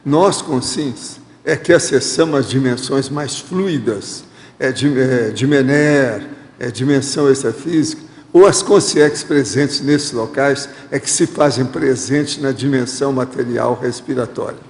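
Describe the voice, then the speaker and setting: A man's voice: medium pitch, measured pace, darkish tone. A Brazilian man hosting a studio Q&A who reads out questions and gives plain, direct advice. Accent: Brazilian Portuguese. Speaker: host reading viewer questions. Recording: echoey hall reverb.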